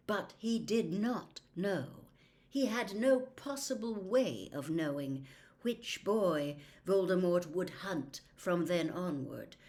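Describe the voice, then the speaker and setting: Lady doing an old wizard headmaster from a storybook, hushed n calm, stern, formal, takes his time. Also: on the low side. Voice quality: quiet, hushed. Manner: measured, formal, grave.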